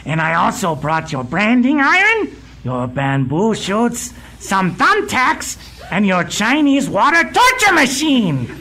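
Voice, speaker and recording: A woman's voice: medium pitch, huge pitch swings, slow pace, bright, forceful tone, heavily acted. A woman playing a servile, creepy henchman who relishes villainy in a comic radio drama. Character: creepy, fawning henchman to a mad doctor.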